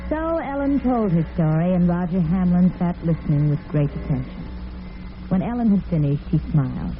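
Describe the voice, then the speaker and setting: A woman's voice: lowish voice, lively intonation, moderate pace, over music, natural voice. A woman playing the storyteller hostess of a romantic old-time radio serial, narrating from a script. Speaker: narrator and host. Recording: narrow old radio recording.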